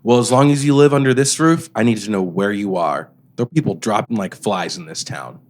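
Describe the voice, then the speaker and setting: A man's voice: on the low side, brisk, lightly acted. A man playing a stern, worried small-town father in an old-time radio drama, scolding and authoritative.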